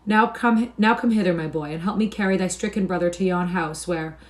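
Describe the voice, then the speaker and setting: A woman reading aloud and voicing a soft-hearted gentleman stranger, flustered and pitying. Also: lowish voice, a little fast, natural voice.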